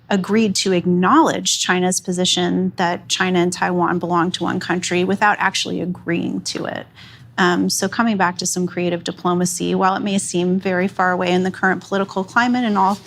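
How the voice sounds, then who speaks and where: A woman on a policy panel, her low voice edged with gravelly fry. She speaks in a measured, earnest way, pausing on fillers as she works through a point. Faint noise hangs behind her in the room. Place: event hall before an audience.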